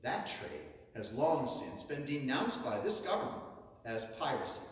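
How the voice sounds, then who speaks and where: A man reciting a historic abolitionist speech as theatrical nineteenth-century oratory, declamatory and emphatic, with dramatic pauses. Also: fairly high pitch. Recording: very echoey hall, distant from mic.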